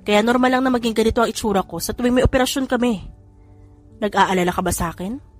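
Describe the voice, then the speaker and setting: A woman reader voicing a male police general who explains calmly and teases affectionately, with little change from her own voice. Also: a little high, fast talker, faint music behind, lightly acted. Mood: explaining.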